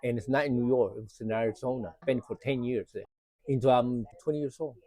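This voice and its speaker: A middle-aged man from Uruguay with a Spanish accent, answering interview questions in loose, casual English, often pausing with "uh". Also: mid-range voice, steady tone, dark tone. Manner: plain, loose English grammar, frequent uh. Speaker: restaurant co-owner. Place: restaurant.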